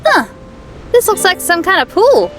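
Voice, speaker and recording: A woman's voice: extremely high pitch, very animated, moderate pace, a bit bright, faint music behind, full character voice. A woman playing a kind, friendly young adventurer girl in an anime-style game, earnest and casual. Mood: amazed.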